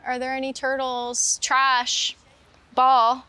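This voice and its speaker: A woman's voice: high-pitched, monotone, slow pace, sharp tone, smooth, dry recording. A woman interviewed as a photographer, chatting casually and reflectively outdoors, meandering and saying "like" often. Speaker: interviewed street photographer and painter. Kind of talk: casual reflective chat while out walking. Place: outdoors in a city park.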